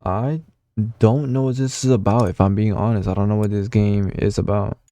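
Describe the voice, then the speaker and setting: Rambling young man, dark and dry. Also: fairly low pitch, steady tone, normal speed, no echo. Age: young adult. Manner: rambling, filler-heavy, often swears. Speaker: gaming vlogger. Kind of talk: casual reminiscing commentary.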